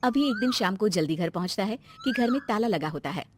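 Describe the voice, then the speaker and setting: A woman's voice: medium pitch, animated, fairly quick, a little mellow, a little hiss or hum. A woman narrating a scripted family story as a storyteller.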